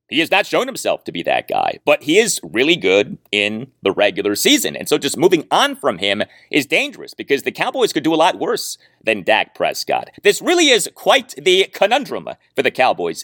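A man hosts a sports talk show with a highly animated voice that swings widely in pitch. He phrases things emphatically and deliberately, whether giving commentary or reading mail, and the sound is dry and close. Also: no room sound. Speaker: sports podcast host.

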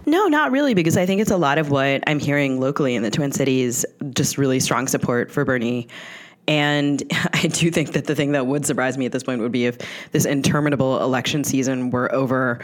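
A woman's voice: very deep voice, a little fast. An American woman co-hosting a podcast, speaking partly from a script and partly in conversation. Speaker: podcast co-host.

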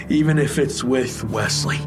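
An actor playing a guilt-ridden, lovesick, weary man in an audio drama, speaking in a halting, subdued, confessional way. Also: fairly high pitch. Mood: remorseful, tender. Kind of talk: naturalistic audio drama acting.

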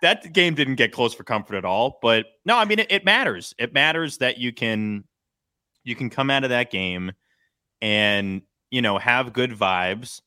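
A man's voice: mid-range voice, expressive, a little slow, bright, forceful tone, smooth. A man hosting a sports podcast, chatting casually with a co-host.

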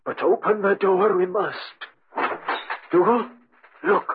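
A man's voice: high voice, slow. A man playing a frightened old castle manservant in melodramatic 1940s radio acting style. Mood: fearful. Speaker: actor in radio drama. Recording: muffled, noisy old radio recording.

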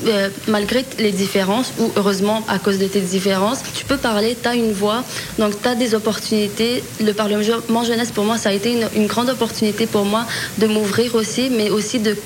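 A woman's voice: medium pitch, fairly even intonation, fairly quick, noisy background. A young woman in her twenties answering interview questions earnestly, with many fillers and restarts. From Lebanese heritage. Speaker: student guest.

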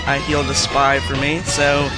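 A man's voice: medium pitch, monotone, normal speed, rough recording. A man giving a rambling, informal introduction as a podcast's song contributor. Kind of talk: casual spoken introduction. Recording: music playing behind the voice.

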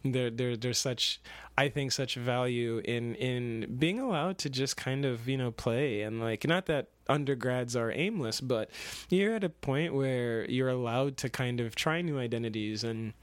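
A man's voice: medium pitch, a little slow, no echo. Rambling, laughing young man, dry sound. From Kenya. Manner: rambling, many restarts and fillers, laughs often. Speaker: guest, actor and dancer. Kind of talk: casual interview chat.

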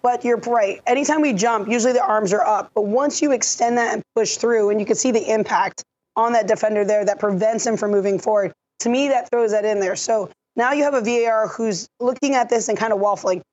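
Woman, voice worn out, methodical explainer, higher pitch, dull audio. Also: darkish tone. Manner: measured, explanatory, technical. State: lost her voice. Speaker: refereeing analyst. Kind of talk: podcast discussion.

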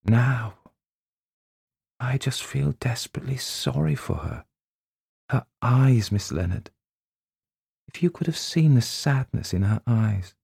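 A man voicing a gentle, hesitant young female teacher in a read story. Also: medium pitch, takes it slowly, gravelly, pauses often, husky, dry recording, studio quality, voice pushed up, exaggerated voice. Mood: hushed.

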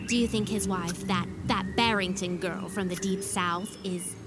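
A woman's voice: medium pitch, fairly even intonation, a little slow, lightly acted. A woman playing a teasing, inquisitive 1860s society lady in an audio drama. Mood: curious, teasing. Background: music.

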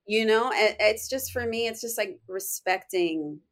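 A woman's voice: mid-range voice, unhurried. A young woman with a Puerto Rican accent, an actress speaking earnestly in a casual interview, filling her talk with "you know.". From Puerto Rico heritage. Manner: earnest, animated, many "you know" fillers.

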